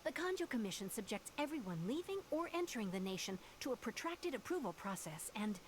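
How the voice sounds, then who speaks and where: A woman playing an earnest young female refugee in a video game, telling things in a measured, sincere way. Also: a little high, very animated, a little hiss or hum. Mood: solemn recounting. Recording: game music behind the voice.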